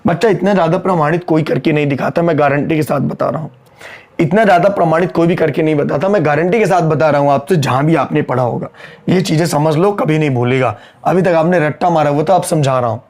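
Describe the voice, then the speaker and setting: Hoarse, brisk Hindi-speaking teacher. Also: male voice, on the high side, darkish tone. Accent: Hindi with English terms. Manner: brisk, scolding, repeats key lines. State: sore, strained throat. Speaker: accountancy teacher. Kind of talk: online lecture, working sums aloud.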